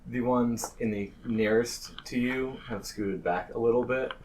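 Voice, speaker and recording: A man's voice: medium pitch, a little slow. A man improvising scene narration as the game master of a tabletop roleplaying game. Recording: distant mic, faint noise.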